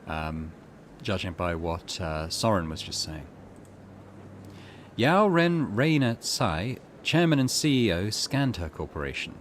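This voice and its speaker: Low-voiced, lively man hosting a let's play. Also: takes it slowly, slight background noise. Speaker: gaming channel host. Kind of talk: let's play commentary and reading game text aloud.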